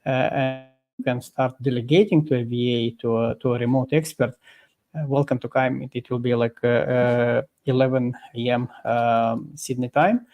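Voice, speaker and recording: Halting man explaining with many fillers. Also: mid-range voice, steady tone, dull audio. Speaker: guest expert. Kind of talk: explaining in a live interview. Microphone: video call.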